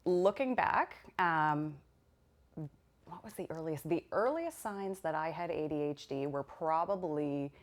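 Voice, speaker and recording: Woman, nurse, interview subject; deep voice, talkative and frank. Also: lively intonation. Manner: talkative, candid, quick bursts when explaining. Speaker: registered nurse. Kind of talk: interview testimony.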